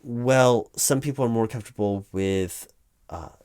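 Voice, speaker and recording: A man playing a distracted hiring manager in a comedic one-sided job interview, rambling haltingly and repeating himself. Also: mid-range voice, a little mellow, clear, resonant tone. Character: distracted male corporate hiring manager, hesitant, patient, mildly baffled. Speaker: roleplay performer as hiring manager. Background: computer keyboard typing.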